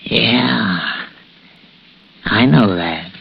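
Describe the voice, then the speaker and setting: A frail, grieving widower in an old radio suspense play. He drags out his words very slowly in a gravelly creak, rambling and folksy, with vengeance underneath. The sound is a dull vintage broadcast. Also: lowish voice, music in the background, full character voice. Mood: subdued, brooding. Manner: halting, rambling, folksy speech. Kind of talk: 1960s radio suspense drama acting.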